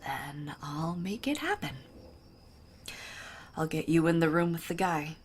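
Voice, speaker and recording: A woman's voice: low voice, sing-song, unhurried, slight background noise. An actress playing a wry, guilt-burdened woman in an audio drama, with a conversational, probing delivery. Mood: grave, earnest. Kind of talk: naturalistic audio drama acting.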